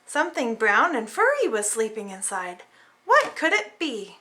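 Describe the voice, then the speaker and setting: A woman reading a children's storybook aloud in an animated, dramatic way for small children. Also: fairly high pitch, a little slow. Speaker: storybook reader. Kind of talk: expressive children's read-aloud narration.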